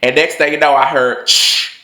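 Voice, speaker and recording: A young man telling personal stories in a loose, chatty way, his voice swinging higher and lower as he talks. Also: level tone, normal speed, pushed and bright, echoey room, poor audio. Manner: chatty, loose, full of "like" and swearing. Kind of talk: casual storytelling monologue.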